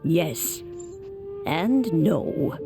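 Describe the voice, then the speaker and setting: An actress playing a serene, mysterious older female master in an anime game, deliberate and theatrical, with music underneath. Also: deep voice, expressive, gravelly, full character voice. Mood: solemn, explanatory. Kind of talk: scripted anime game dialogue. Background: game background music.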